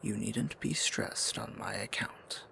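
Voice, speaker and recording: A man playing a tender, possessive, courtly dark sorcerer in an intimate fantasy ASMR roleplay, speaking formally in a breathy, gravelly near-whisper. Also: lowish voice, exaggerated voice. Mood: reassuring. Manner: formal, archaic endearments, slow deliberate phrasing.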